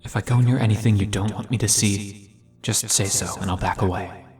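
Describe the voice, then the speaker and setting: A man acts as a considerate male telepath in an audio drama, with a gentle, reassuring manner. His voice sits fairly low and comes from a little way off, with music clearly playing behind it. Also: steady tone, a little fast, far from the mic, lightly acted.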